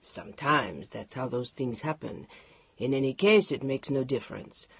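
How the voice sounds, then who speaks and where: A woman voicing a controlling husband who speaks quietly and firmly. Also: expressive, moderate pace, vocal fry, slightly rough, no room sound, heavily acted. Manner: low, firm, unbending.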